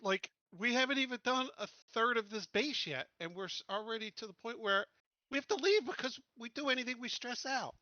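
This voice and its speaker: A man's voice: extremely high pitch, animated, fairly quick, bright, forceful tone, no room sound, lo-fi sound. A man playing a tabletop game, talking casually in a blunt, complaining way.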